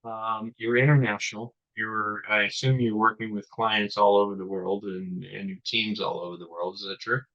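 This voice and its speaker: Man, middle-aged, interview host, chatty, winding questions, lowish voice, muffled lo-fi sound. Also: level tone, normal speed, few pauses, fairly bright tone. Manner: chatty, asks long winding questions with fillers. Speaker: podcast host. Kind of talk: conversational interview. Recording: dull lo-fi sound.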